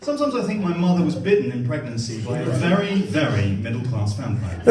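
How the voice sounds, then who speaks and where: A man's voice: on the high side, huge pitch swings, measured pace. A young man from Somerset with a posh English accent, doing a dryly self-mocking stand-up set at a comedy venue. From Somerset, England. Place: comedy venue with live audience. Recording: roomy live stage sound from a distance, loud crowd laughter.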